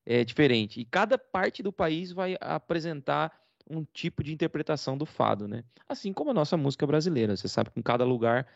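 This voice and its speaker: A Brazilian man with a Brazilian Portuguese accent co-hosts an interview, talking at length with plenty of praise. His slightly high voice has a gravelly edge. The sound is dry and dull. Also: animated, brisk, hardly any pauses, dry recording. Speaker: co-host. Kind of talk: casual interview chat.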